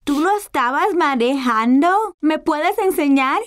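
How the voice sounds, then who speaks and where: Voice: sits high, lively intonation, a little slow, a bit bright. A performer voicing a curious, cheerful four-year-old boy in a children's cartoon, eager and wide-eyed.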